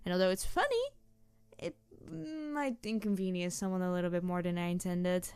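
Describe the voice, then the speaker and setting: A woman voicing a playful, mischievous tanuki spirit girl in a roleplay audio drama, teasing the listener in an excitable, rambling way. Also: lowish voice, normal speed, clean tone, no room sound. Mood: sheepish, wistful. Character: playful, mischievous young-sounding tanuki trickster spirit girl, over two hundred.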